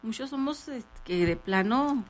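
A woman's voice: a little high, animated, unhurried. A woman with a northern Mexican accent, chatting casually and agreeably as a radio co-host.

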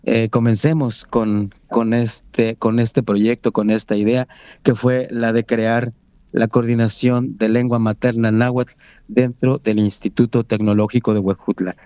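A man with a Mexican accent whose first language is Nahuatl, answering interview questions in an earnest, proud and measured way. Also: mid-range voice, level tone, mellow, clean tone, dry recording, highs cut off. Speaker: guest, Nahuatl language coordinator. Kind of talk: interview conversation.

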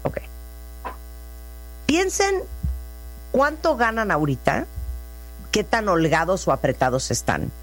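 A woman's voice: medium pitch, wildly swooping pitch, slow pace, long pauses, low-quality recording. A woman in her twenties with a Mexican accent, hosting a casual chat, joking and reacting with slangy quips. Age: 20s. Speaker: host.

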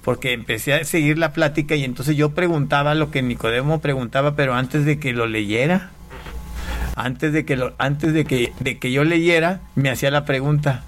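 A man from Panama, an interview guest, giving a personal testimony in a casual, halting way with repeated words. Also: fairly high pitch, fairly bright tone, slight background noise.

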